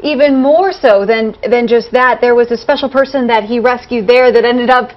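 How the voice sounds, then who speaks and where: A woman with a fairly high voice hosts a live TV interview, upbeat and quick with enthusiastic reactions. The sound is a bit dull, like broadcast audio. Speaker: TV show host.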